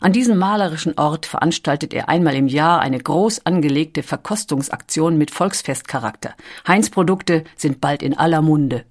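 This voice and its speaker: A female narrator with a slightly low voice delivers a scripted documentary. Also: normal speed.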